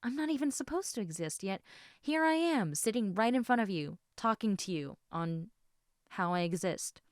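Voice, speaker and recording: A woman voicing an affectionate, teasing half-snake lamia in a casual, intimate audio roleplay, chatting informally with halting, trailing thoughts. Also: medium pitch, expressive, dry recording, studio quality, close to an everyday voice. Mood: content, chatty.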